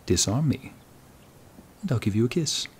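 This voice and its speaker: A man's voice: medium pitch, expressive, moderate pace, slight background noise, natural voice. A man acting in an audio roleplay as an uptight, snooty combat instructor who banters bossily and flirtatiously with a royal pupil. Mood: teasing, wry. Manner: mildly bossy, bantering, flirtatious.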